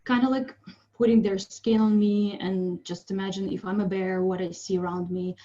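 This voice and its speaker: A woman from Siberia, giving a friendly, chatty artist's talk on a video call, pausing with ums. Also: mid-range voice, fairly even intonation, mellow, muffled recording. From Siberia, Russia. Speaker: presenting artist. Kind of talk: informal online presentation.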